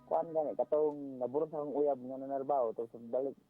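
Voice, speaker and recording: A man's voice: medium pitch, steady tone, fast, no echo. Cebuano man on a tinny phone line. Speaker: radio show caller. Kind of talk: casual advice chat. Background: background music.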